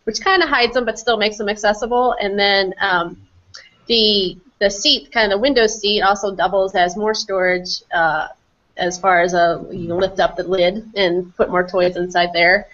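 A woman's voice: mid-range voice, steady tone, normal speed, a bit bright. A middle-aged woman casually explaining a picture as a guest on a video call. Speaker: company quality executive, interview guest. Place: small office room, indoors. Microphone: computer mic on a video call. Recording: dull, lo-fi video call audio.

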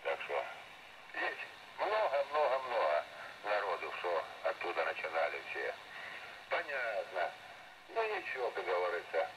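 A man's voice: low-pitched, very animated, a little slow, long pauses, a bit bright. An older man chatting casually as a ham radio operator, rambling and repeating himself, his voice processed with reverb over shortwave radio. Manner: rambling, repeats words and phrases. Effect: heard over shortwave radio with added reverb and compression. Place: radio transmission playing from a transceiver in a room. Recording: noisy shortwave radio reception picked up from speaker.

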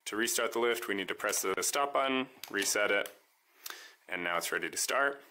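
A man calmly walking through how his homemade device works, step by step, in a voice-over demo. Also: fairly low pitch, brisk. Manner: calm, methodical step-by-step explaining. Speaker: maker demonstrating his device.

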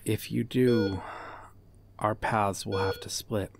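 A man playing a devoted, guilt-ridden detective in a dramatic audio roleplay, speaking in an earnest, brooding, halting way. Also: lowish voice, steady tone, creaky. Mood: sorrowful, pleading. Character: guilt-ridden, devoted male detective hunting a serial killer.

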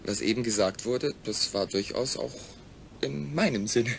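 A man acting in a German audio drama as a calm, rational astronaut, speaking in a measured, explanatory way. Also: medium pitch, vocal fry, a little hiss or hum, natural voice. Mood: reasoning, cooperative.

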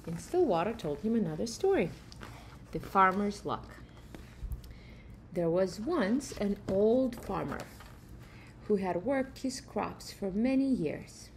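Middle-aged female storyteller, slow and animated in pitch, recorded at home with faint noise. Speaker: reader of a children's picture book. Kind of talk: expressive read-aloud storytelling. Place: room at home, indoors.

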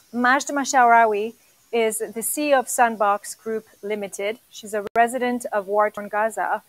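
A woman hosting a panel, reading formal guest introductions with occasional pauses, filmed in a studio. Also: fairly high pitch, no room sound, low-quality recording. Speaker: panel host. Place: studio with dark background.

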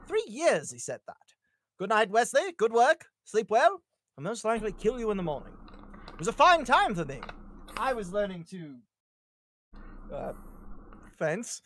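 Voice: medium pitch, big pitch swings, normal speed, pauses often, a bit bright, a little hiss or hum. A performer reading a hero's lines in a casual comedy table read on a group video call, playful and given to ad-libs. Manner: playful, breaking into laughter and ad-libs.

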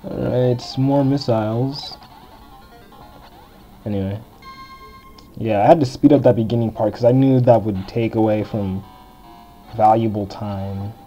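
Deep-voiced young man rambling over gameplay. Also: steady tone, measured pace, pauses often, dark tone. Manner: chatty, rambling, filler-heavy. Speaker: game walkthrough host. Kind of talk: casual let's-play commentary. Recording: dull lo-fi game recording. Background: faint game music.

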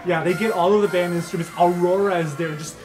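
A man's voice: high voice, steady tone, quick pace, crowd noise. A man narrating an improvised tabletop game as game master, informal and given to swearing. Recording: music behind the voice. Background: loud background music.

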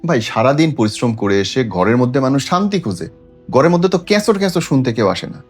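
Man, Bangladeshi accent, advice host, opinionated, emphatic, medium pitch, soft music behind. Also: sing-song, quick pace. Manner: emphatic, preachy, with rhetorical questions. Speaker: radio host giving relationship advice. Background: background music.

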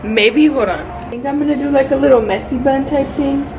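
A woman's voice: high voice, a little fast, lo-fi sound. A young woman vlogging casually from a car, a thrift store and a gym, chatty, crude and playful. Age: young adult. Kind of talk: casual vlog chat. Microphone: handheld phone camera.

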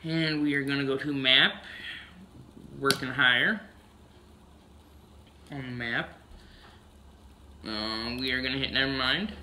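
A man's voice: mid-range voice, slow pace, long pauses, sharp tone, slight background noise. A man casually narrating a farming video game, humming "mm-hmm" between steps, recorded on a camera across a room. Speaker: gameplay narrator.

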